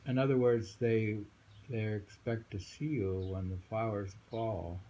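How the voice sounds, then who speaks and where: A middle-aged man reading short poems aloud and commenting casually, in a musing, halting way with frequent hums and pauses. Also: fairly low pitch, mellow, dull audio. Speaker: poetry reader. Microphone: handheld phone. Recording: noisy amateur phone recording.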